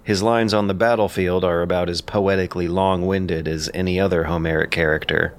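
A man narrating scripted explanatory commentary in a measured, lightly informal way. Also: deep voice, steady tone.

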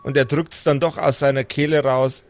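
A man with a medium-pitched voice runs an improvised tabletop game. He describes scenes in a measured way, feeling his way to the right word as he narrates. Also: flat delivery. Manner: measured, descriptive, searching for words. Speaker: game master.

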